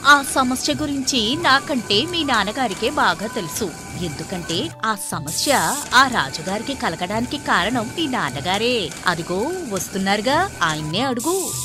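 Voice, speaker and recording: A woman voicing a busy village mother, affectionately chiding her idle son in an animated moral story. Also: fairly high pitch, a little slow, over music. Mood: instructing.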